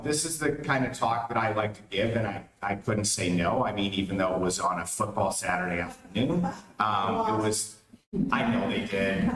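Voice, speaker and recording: A man giving a public lecture as a political science professor, with a loud voice and a relaxed, chatty manner full of ums. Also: deep voice. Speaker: guest speaker, political science and law professor. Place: community meeting hall. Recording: roomy hall, distant mic, background noise.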